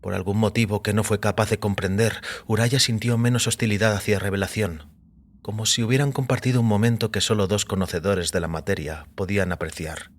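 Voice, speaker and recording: A man narrating scripted audio fiction in a measured, literary delivery. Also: lowish voice, steady tone.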